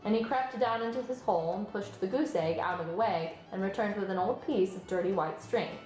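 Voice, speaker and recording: A woman's voice: mid-range voice, a little fast, a little mellow. A woman reading a children's story aloud as scripted narration. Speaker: storybook reader. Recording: roomy sound, music behind. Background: music.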